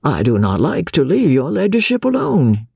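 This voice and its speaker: Reader doing a polite gallant gentleman minister, dark mellow voice. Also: mid-range voice, wildly swooping pitch, moderate pace, vocal fry, breathy edge, no room sound, clean studio sound, voice pushed up, exaggerated voice. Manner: courteous, gallant. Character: gallant male cabinet minister.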